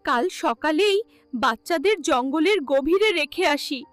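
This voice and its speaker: A woman's voice: sits high, animated, measured pace, no echo, soft background music, pitched above the performer's own voice. A woman voicing a cruel, scornful stepmother who nags and schemes in a cartoon fairy tale. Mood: scheming. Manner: harsh, scornful, plotting.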